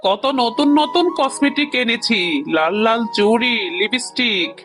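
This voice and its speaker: A woman's voice: a little high, expressive, measured pace, fairly bright tone, soft background music. A woman voicing a haughty tigress shopkeeper in a children's cartoon, snobbish and scornful. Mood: pushy.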